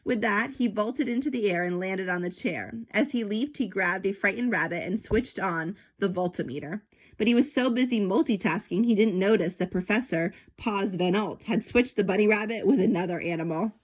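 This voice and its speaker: A woman reads a children's storybook aloud in a mid-pitched voice. Her delivery is animated and expressive, with drawn-out words for emphasis and little spoken sound effects. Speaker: storybook reader.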